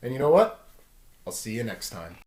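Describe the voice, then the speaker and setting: Male storyteller: expressive, wide-ranging intonation, read-aloud delivery, roomy echo. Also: mid-range voice, moderate pace. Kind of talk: animated story narration.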